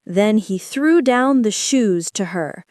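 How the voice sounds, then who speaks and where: A woman reading a fairy tale aloud to children in a slow, expressive bedtime voice. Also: mid-range voice, no echo, clean studio sound. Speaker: storyteller. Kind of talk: storybook read-aloud.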